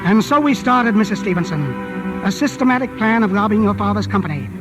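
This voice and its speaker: An actor playing a timid, anxious old chemist in an old-time radio drama, hesitant and deferential. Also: male voice, high-pitched, animated, fast talker, over music. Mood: weary confession. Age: older.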